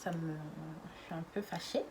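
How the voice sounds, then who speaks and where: A woman's voice: lowish voice, steady tone, a little fast, a little mellow, a little hiss or hum. A woman in her twenties from around Lyon, chatting casually to her camera with frequent fillers and giggles. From Lyon area, France. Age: 20s. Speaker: YouTuber. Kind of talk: casual vlog chat.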